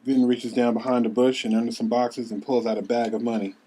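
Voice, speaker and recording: A man's voice: mid-range voice, flat delivery, brisk, warm tone, a little hiss or hum. A man with a mid-range voice reads screenplay narration. He announces scenes and describes the action as the narrator of a table read. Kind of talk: scripted narration of stage directions.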